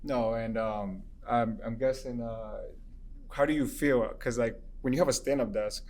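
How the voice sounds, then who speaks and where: A young man hosting a casual interview, chatty and easygoing, asking questions in a relaxed way. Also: medium pitch, measured pace. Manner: easygoing, chatty, laughs along. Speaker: interview host.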